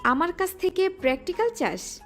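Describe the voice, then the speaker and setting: Woman as stern senior girl student, curt and scolding, high pitch, music bed. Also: sing-song, brisk. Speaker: voice actor. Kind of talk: audio drama acting.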